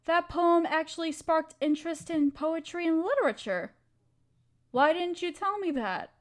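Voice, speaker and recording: A woman's voice: extremely high pitch, expressive, normal speed. A woman playing an affectionate, playful young wife in an intimate roleplay audio, talking tenderly and teasingly to her partner. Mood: warm, nostalgic.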